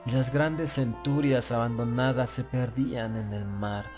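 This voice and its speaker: A man narrating a gothic horror tale in the first person, with a solemn, measured literary delivery. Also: medium pitch, steady tone. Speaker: audiobook narrator. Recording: music bed under the voice. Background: prominent music.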